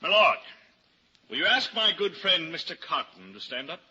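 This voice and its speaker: A cunning, pompous male barrister in an old radio drama. His voice is high and cuttingly bright, with grand courtroom declamation. The sound is band-limited, with faint noise. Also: sing-song, normal speed, breathy edge, exaggerated voice. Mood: probing, formal. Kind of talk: vintage radio drama acting. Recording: dull, noisy old-time radio recording.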